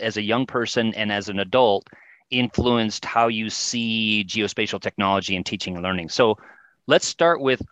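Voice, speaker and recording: A man's voice: fairly low pitch, a bit bright, no room sound. A man hosts a podcast and interviews his guest in a friendly, polite way. The recording is dry and close, with a dull, lo-fi quality. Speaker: podcast host.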